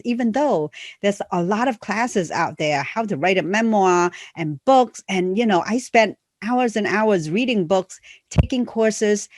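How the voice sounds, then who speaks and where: A woman's voice: mid-range voice, no room sound. A woman coach and memoir author talking casually and earnestly, with non-native English phrasing, many fillers, and a first language that is a Chinese dialect. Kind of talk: casual conversational promotion.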